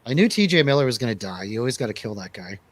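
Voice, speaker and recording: A man with a medium-pitched voice chats casually as a review show host. He is enthusiastic and slangy, speaking in loose conversational English. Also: very animated, racing. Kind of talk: casual movie review chat.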